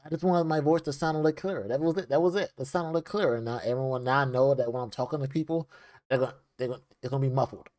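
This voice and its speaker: A man's voice: medium pitch, rapid-fire, a little mellow, no room sound. A young man chatting casually into a desk microphone as he reacts to a video, rambling with lots of fillers.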